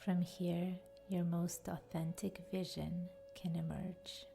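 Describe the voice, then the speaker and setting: A woman with a soft, breathy voice that often nears a whisper, guiding a meditation in a soothing, deliberate manner. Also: lowish voice, flat delivery. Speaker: podcast host and yoga nidra guide. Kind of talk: guided meditation narration. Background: faint music.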